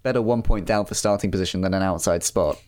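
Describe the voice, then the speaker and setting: Low-pitched man hosting a casual podcast. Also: fast, a little mellow. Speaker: podcast host. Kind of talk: casual chat, moderating and reading listener messages.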